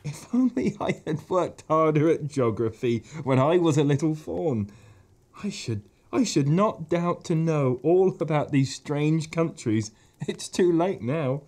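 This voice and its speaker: A man's voice: on the high side, big pitch swings, unhurried, warm tone, slightly rough, voice pushed up, heavily acted. A man doing a nervous stammering faun. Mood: courteous. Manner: stammers and repeats words anxiously. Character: timid, stammering male faun, polite and nervous.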